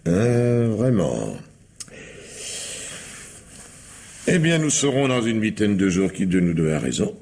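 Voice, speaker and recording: A man's voice: fairly low pitch, sing-song, moderate pace, darkish tone. An actor playing a suave, domineering blackmailing businessman in a radio mystery, mock-courteous and imperious. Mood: silky threat. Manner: mocking mock-courteous, imperious when irritated. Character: sinister, suave, domineering blackmailing factory owner. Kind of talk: French radio mystery drama acting.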